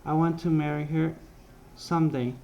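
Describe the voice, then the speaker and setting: A young man casually narrating his life story over drawings, halting often and correcting himself as he goes. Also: a little high, fairly even intonation, warm tone, slight background noise, low-quality recording. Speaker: vlogger.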